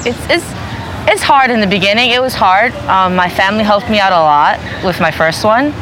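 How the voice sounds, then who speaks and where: A woman's voice: mid-range voice, normal speed, background noise. A woman in her twenties chatting in an interview, dropping "you know" often. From New York. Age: 20s. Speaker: interviewee, family store worker. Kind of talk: casual interview.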